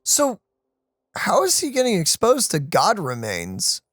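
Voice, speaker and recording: A woman's voice: mid-range voice, huge pitch swings, measured pace. A woman co-hosting a let's play, chatting casually and wondering aloud. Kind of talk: casual gameplay commentary.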